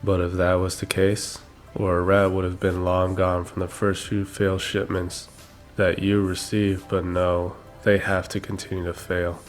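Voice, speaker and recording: Guy acting as scornful ex-cop for hire, really deep flat voice, blunt, asmr mafia roleplay, music behind. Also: a little slow. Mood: sceptical. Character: gruff male ex-cop hired by the mob, scornful snake. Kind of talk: ASMR roleplay audio drama.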